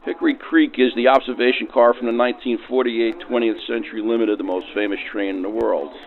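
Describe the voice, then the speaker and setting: A man's voice: mid-range voice, fairly even intonation, a little fast, phone-quality sound. A middle-aged man giving informative interview answers as a rail car restorer, through a vintage radio filter. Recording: band-limited old-radio sound.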